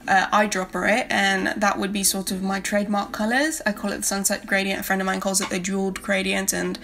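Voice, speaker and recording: A woman's voice: mid-range voice, fairly bright tone. A young woman from South America, a native Spanish speaker with a light Spanish accent, rambling casually in a friendly voice-over about her art channel. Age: young adult. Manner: rambling, friendly, many fillers. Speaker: art YouTuber. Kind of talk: casual voice-over while drawing. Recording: faint backing guitar music.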